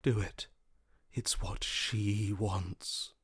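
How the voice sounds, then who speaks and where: A defeated, submissive inner voice for a video game. He speaks slowly in a breathy half-whisper, pitched low and close in a dry recording. Every phrase sounds resigned and worshipful. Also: fairly even intonation, no echo, exaggerated voice. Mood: resigned, devoted. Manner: slow, resigned, reverent. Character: broken, submissive male inner voice, devoted and defeated.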